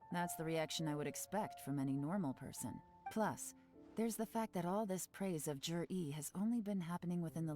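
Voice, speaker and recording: A woman voicing a sharp-minded female investigator in a video game, calm and measured as she reasons things through. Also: lowish voice, lightly acted. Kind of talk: game voice acting. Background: game music.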